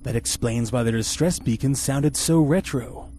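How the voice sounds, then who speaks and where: A man's voice: mid-range voice, normal speed, warm tone, soft background music, close to an everyday voice. A man playing a pompous, incompetent, self-admiring spaceship captain in the style of a 1950s radio serial. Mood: grandiose. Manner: pompous, grandiose.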